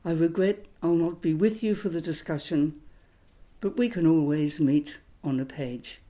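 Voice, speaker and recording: A woman in her eighties, a historian, reading a reflective talk in a measured, literary way. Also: low-pitched, warm tone. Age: 80s. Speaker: historian giving a recorded talk. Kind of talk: reflective scripted lecture.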